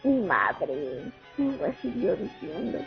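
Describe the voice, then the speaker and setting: A haunted young female sniper played by a woman recalls the war in a radio drama. Her delivery is slow and dramatic, swinging widely in pitch. The recording is thin and phone-like, with music underneath. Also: big pitch swings, gravelly, full character voice. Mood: somber, haunted.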